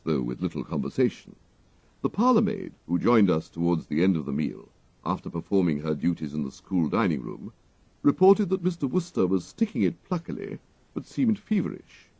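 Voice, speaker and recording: Audiobook narrator, male, English, formal and dryly comic, mellow low voice with creak. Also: no echo. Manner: formal, precise. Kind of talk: dry comic scripted narration in character as a valet.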